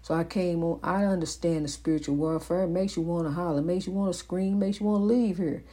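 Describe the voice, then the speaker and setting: A woman's voice: fairly low pitch, steady tone, a little fast, mellow. A woman hosting her own motivational program, giving a rambling, faith-filled monologue that repeats phrases for emphasis, recorded indoors. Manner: rambling, with many fillers and restarts. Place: indoors at home.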